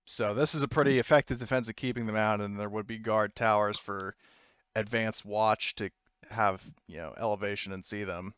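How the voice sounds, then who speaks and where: A man casually narrating a tabletop roleplaying game as game master, improvising and thinking aloud in a halting way. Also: lowish voice, fairly even intonation, a bit bright, smooth, no room sound, muffled recording.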